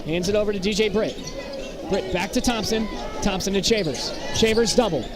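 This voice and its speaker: An American man doing live basketball play-by-play in short, clipped phrases that turn excitable on big plays. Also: high-pitched, a little fast. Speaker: play-by-play commentator. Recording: very loud gym crowd noise behind. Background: loud crowd and bouncing basketballs.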